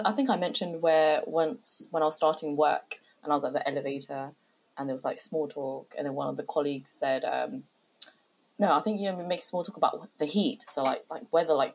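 A woman chats on a podcast she co-hosts. She tells lively anecdotes and slips into other people's voices when quoting them. The talk is casual. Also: low-pitched, brisk, poor audio. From London. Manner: animated storytelling, acts out quoted voices. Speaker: podcast co-host.

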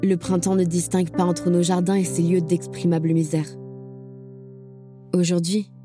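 A woman's voice: fairly low pitch, level tone, normal speed, close to an everyday voice. A woman playing a resolute camp survivor who urges remembrance, speaking in an earnest, insistent way in an audio drama. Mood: solemn. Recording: music playing behind the voice.

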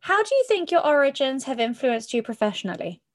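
A woman's voice: high voice, very animated, brisk. A woman hosting a podcast, upbeat and cheeky as she welcomes and questions her guest. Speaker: podcast host.

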